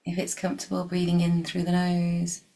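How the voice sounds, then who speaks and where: A woman leading a guided meditation in a soft, gentle, unhurried way, leaving long pauses and at times dropping toward a breathy whisper. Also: fairly low pitch, monotone.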